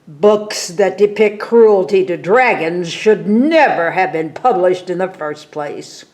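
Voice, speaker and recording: A woman reading a picture book aloud at a library story time, expressive, with explanatory asides to children. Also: on the low side, takes it slowly.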